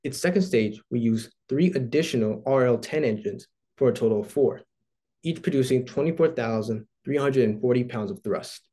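A man's voice: mid-range voice, warm tone. A teenage boy from Texas giving a scripted school presentation over a video call, reading steadily from his notes. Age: teens. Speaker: student presenter.

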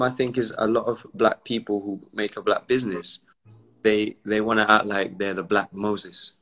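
Deep-voiced man lecturing with emphasis. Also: a bit bright. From Barbados, raised in the UK. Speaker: entrepreneur and commentator. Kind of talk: casual live-stream conversation. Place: indoors at home. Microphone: phone on a live video call.

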